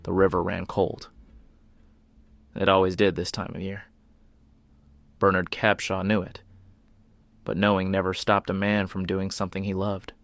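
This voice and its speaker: A man narrating a scripted suspense story in a brooding, deliberate manner, with short dramatic phrases. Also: sits low, no room sound.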